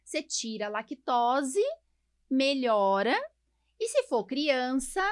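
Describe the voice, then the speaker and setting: A Brazilian woman with a fairly high voice, recorded close and dry. She talks casually as a podcast host, explaining medical matters to listeners in an emphatic, chatty way and often asking questions she answers herself. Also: lively intonation, slow, clean tone, dry recording. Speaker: podcast host, allergist doctor.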